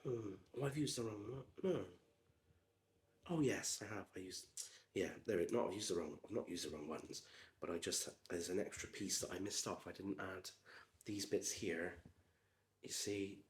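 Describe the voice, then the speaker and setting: A man in his forties with a Gloucestershire accent, slightly hoarse, chatting quietly and slowly for ASMR with lots of ums and drifts into whispers. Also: low voice. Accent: Gloucestershire English. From Gloucestershire, England. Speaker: ASMR creator. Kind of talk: soft-spoken ASMR chat while building.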